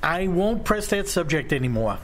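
A firm but fair policeman acted by a man with an American accent, in a radio play. He sounds probing and authoritative in a fairly high voice, with faint noise behind. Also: sing-song, normal speed. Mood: firm, questioning. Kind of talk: amateur radio drama acting.

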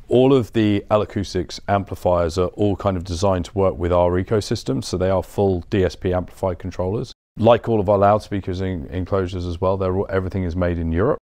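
A man in his thirties with a low voice explains equipment as he guides a tour. He talks informally and conversationally, and the sound is dry, with little room on it. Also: steady tone, a little fast, hardly any pauses, a little mellow, no echo. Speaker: showroom guide, company representative. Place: indoor audio showroom and studio room.

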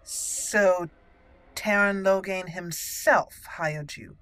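Low-voiced woman doing roleplay game commentary. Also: extremely slow, a bit bright, no room sound, rough recording. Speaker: gaming channel host, roleplayer. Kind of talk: roleplay let's play commentary, reading in character and musing aloud.

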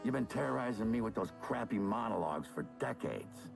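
An actor playing a wry, weary middle-aged crime-scene cleaner in a video game, sarcastic and world-weary. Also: male voice, fairly low pitch, animated, a little fast, gravelly, music in the background. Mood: scornful. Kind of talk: video game voice acting, monologue and dialogue.

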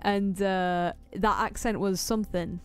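A woman's voice: mid-range voice, fairly even intonation, a little slow, faint music behind. A woman from Yorkshire with a muted Yorkshire accent, co-hosting a casual, giggly chat over gameplay. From Yorkshire, England. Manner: giggly, easygoing. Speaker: co-host.

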